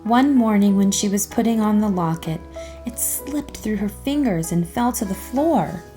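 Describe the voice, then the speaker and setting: A woman narrating a children's storybook aloud in an expressive, careful storytelling manner. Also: mid-range voice, moderate pace, faint music behind.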